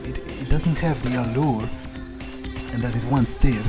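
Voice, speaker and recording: A man improvising in character during a video game playthrough, thinking aloud bluntly and haltingly, with swearing. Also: mid-range voice, music in the background. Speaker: video game player speaking as his character.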